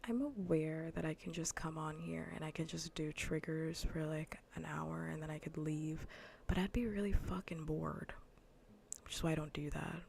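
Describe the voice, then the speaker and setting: A woman livestreamer chatting casually in a soft, breathy near-whisper, rambling bluntly and sardonically with plenty of swearing in an ASMR style. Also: low-pitched, steady tone, moderate pace, a little hiss or hum. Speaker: livestreamer hosting an ASMR gaming stream.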